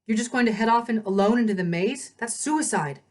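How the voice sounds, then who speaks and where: A woman's voice: fairly high pitch, fairly quick, a little mellow, pitched above the performer's own voice, lightly acted. Woman playing teenage boy hero, storybook character, earnest, wry, casual delivery. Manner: casual, sarcastic at times.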